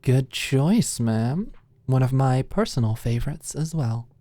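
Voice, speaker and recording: A woman playing a confident, doting, teasing girlfriend in an intimate ASMR roleplay, soft and playful. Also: rumbling low, expressive, unhurried, dry recording. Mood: tender, soothing.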